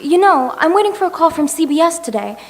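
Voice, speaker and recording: A woman's voice: very high-pitched, animated, quick pace. A woman playing a pompous, self-important aspiring announcer in an old-time radio comedy on stage. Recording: echoey hall reverb, clear background noise.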